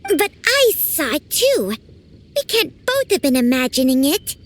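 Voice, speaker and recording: A woman voicing a tiny, excitable, chatty fairy girl companion in a video game, with a squeaky childlike voice. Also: unhurried. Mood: chatty, playful. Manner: exclamatory, childishly eager. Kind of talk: video game character acting. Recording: game music behind the voice.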